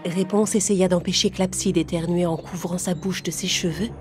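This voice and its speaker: A woman's voice: lowish voice, fairly even intonation, normal speed. Woman narrating a fairy tale over music. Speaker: storyteller. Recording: music behind the voice.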